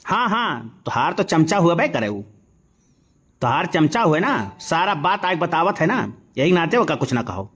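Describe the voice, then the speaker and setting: A man playing a gruff, sarcastic village family patriarch in a cartoon comedy, grumbling in an Awadhi accent. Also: fairly high pitch, very animated, normal speed, fairly bright tone, exaggerated voice. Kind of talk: comic cartoon dialogue.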